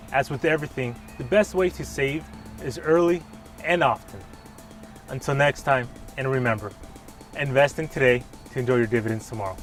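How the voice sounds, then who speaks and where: A man, a financial planner, presenting a scripted explainer in an upbeat, clear way. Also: medium pitch.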